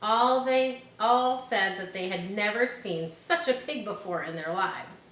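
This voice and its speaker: A woman's voice: medium pitch, unhurried, a bit bright. A woman reads a children's story aloud in a mid-range voice. Her delivery is informal, like reading to listeners at home. The room echoes, with faint noise behind her. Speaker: storybook reader. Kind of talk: children's book read aloud with informal asides. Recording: roomy echo, faint background noise.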